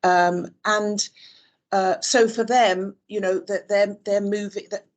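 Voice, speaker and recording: A woman's voice: mid-range voice, fairly even intonation, slow. A woman from the UK answering interview questions on a video call, thoughtful, with many fillers and restarts. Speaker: interview guest. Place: Dubai.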